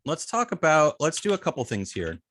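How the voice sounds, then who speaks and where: A man working as an instructor, explaining a live coding demonstration step by step in an informal, halting way, thinking aloud as he works. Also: on the high side, wildly swooping pitch, a bit bright. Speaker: web development instructor.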